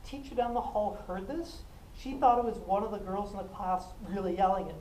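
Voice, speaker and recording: A woman giving a self-defense talk, animated and jokey, often laughing and acting out what people said. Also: mid-range voice, brisk. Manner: animated, jokey, laughs a lot, acts out quotes. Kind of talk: lively storytelling talk to a class. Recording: echoey room, mic at a distance, faint noise.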